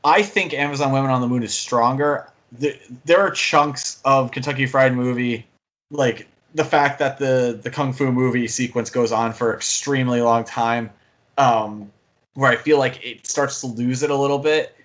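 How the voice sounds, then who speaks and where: A man's voice: medium pitch, fairly even intonation, normal speed, a bit bright. Enthusiastic man chatting casually about film. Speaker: podcast co-host and film fan. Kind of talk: casual movie discussion.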